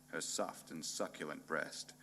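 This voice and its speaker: A man playing a hard-boiled, pompous and bumbling private detective in a noir radio play parody, narrating in a deadpan, melodramatic way. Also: rumbling low, measured pace, slight background noise, heavily acted. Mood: deadpan brooding.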